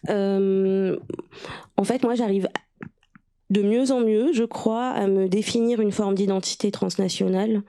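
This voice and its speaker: A woman author from Russia, a native Russian speaker, with a gravelly, dark and mellow voice. Her pitch stays flat and even as she reads her own writing steadily, then turns hesitant and searching with many pauses and fillers when answering questions.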